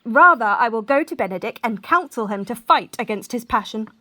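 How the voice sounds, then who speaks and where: A woman playing a lively, mischievous young gentlewoman in a Shakespearean radio play, with theatrical, emphatic delivery. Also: on the high side, fairly quick. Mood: mock scornful. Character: lively scheming young gentlewoman about to be married. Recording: recorded separately at home.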